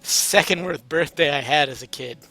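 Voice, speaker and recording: A man's voice: fairly high pitch, normal speed, sharp tone, faint music behind. A man voicing an easygoing male suitor on a date in a story reading, casual and teasing.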